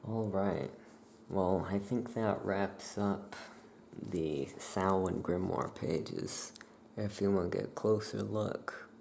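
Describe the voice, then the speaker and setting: A soft-spoken ASMR roleplayer talking gently and in a hushed, unhurried way as a witch shopkeeper. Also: sits low, vocal fry, slight background noise.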